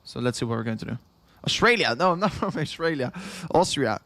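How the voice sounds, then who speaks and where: A man's voice: mid-range voice, expressive, brisk, pushed and bright, no room sound. Young Dutch-accented man, livestream host, repetitive rambling, worn out, dry close sound. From Netherlands. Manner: rambling, repeats himself, chuckles often, reads chat aloud. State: tired after hours of streaming. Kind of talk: casual livestream chat.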